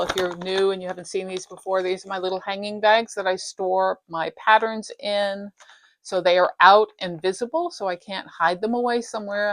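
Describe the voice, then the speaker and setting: A woman hosting a cross-stitch video from her craft room, chatting casually and rambling a little, her voice slightly raspy from a dry throat. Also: medium pitch, fairly bright tone. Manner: chatty, rambling, self-interrupting. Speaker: flosstube host. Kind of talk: casual show-and-tell chat.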